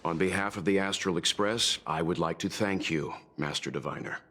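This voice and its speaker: A man voicing a composed, courteous mature traveller in a video game, speaking politely and dryly in a measured way. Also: deep voice, fairly bright tone, slight background noise. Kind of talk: video game character dialogue.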